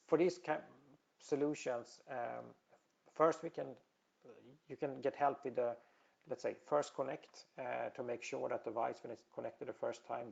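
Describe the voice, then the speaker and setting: A man's voice: mid-range voice, gravelly, a little mellow, highs cut off. A man from Sweden with a Swedish accent, giving a technical presentation with many fillers and restarts. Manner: hesitant, many fillers and repeated words. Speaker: industry presenter.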